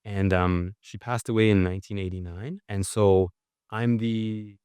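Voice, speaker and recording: A man with a fairly low voice talks through his answers as a podcast guest, reflective and loose, circling back with fillers. The sound is dry and close, with no room around it. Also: steady tone, measured pace, a little mellow, clean tone, no room sound. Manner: thoughtful, with many filler "like"s and restarts. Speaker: guest, writer and journalist. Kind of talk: conversational interview answers.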